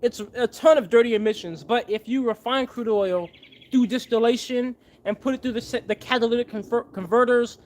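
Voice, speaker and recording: A man's voice: very high voice, brisk. A man hosting a casual livestream, earnestly thanking donors by name and rallying viewers. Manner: fervent, rallying. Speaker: livestream host and machine builder.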